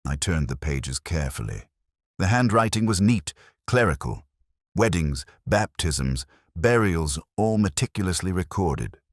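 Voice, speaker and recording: A man narrating a scripted first-person detective story in a measured, reflective, literary manner. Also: sits low, very animated, no echo, studio quality.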